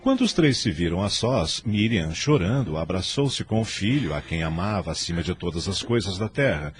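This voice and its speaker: A man's voice: deep voice, expressive, moderate pace. A man narrating a scripted religious radio drama in a solemn, literary storytelling manner. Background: soft music.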